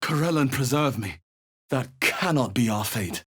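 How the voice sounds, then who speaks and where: A man playing a wary, thoughtful fantasy adventurer in a video game, musing aloud in a measured, dramatic way. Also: mid-range voice, lively intonation, fairly bright tone, husky. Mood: startled, dismayed. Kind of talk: video game voice acting, short exploration remarks.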